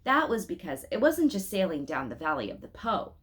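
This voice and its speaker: A woman reading a children's story aloud expressively, pausing to speak directly to her listeners with questions and asides. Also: on the low side, sing-song, fairly bright tone.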